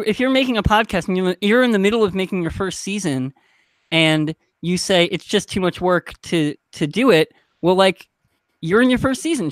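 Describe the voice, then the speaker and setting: Male panelist, very high-pitched voice, close dry sound, halting conversational delivery. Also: no echo. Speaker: podcast panelist. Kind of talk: casual panel discussion.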